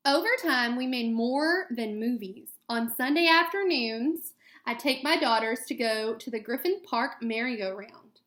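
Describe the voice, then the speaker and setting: Woman, slightly high pitch, teacher reading to kids, expressive storytelling, echoey room. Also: measured pace. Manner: expressive, child-directed storytelling delivery. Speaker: elementary school teacher. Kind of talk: reading a children's picture book aloud with asides to students.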